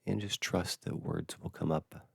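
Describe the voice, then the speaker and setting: A man leading a meditation with an unscripted dharma talk, speaking gently and haltingly, with hushed phrases and long pauses. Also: low voice, fairly even intonation, gravelly, slightly rough, no echo, clean studio sound. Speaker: meditation guide.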